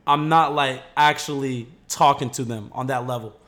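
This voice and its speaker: A man's voice: medium pitch, fairly even intonation, normal speed, a bit bright. A young man talking as a podcast guest in a laid-back, slangy way, swearing freely. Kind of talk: casual chat.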